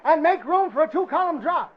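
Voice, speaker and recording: A gruff, excitable newspaper editor in a vintage radio drama. He is blunt and slangy, with a strikingly high pitch and dull recorded sound. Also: brisk. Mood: excited, urgent. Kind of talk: 1940s radio drama acting.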